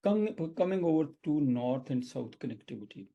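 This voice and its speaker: Gravelly, husky Pakistani man. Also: mid-range voice, animated, moderate pace, narrow, tinny audio. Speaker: retired general as interview guest. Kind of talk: considered interview answers.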